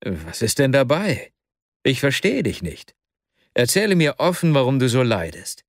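A man's voice: mid-range voice, huge pitch swings, normal speed, no echo, studio quality. A man reading an anxious, devoted young friend. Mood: earnest urgent. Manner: earnest, urgent, comforting. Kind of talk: audiobook character voice.

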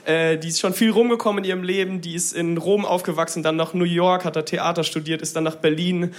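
A man warming up a live audience as a comedy show host, speaking informally in a rambling, hesitant way on stage. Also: high-pitched, fairly even intonation, fast. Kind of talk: stand-up crowd warm-up.

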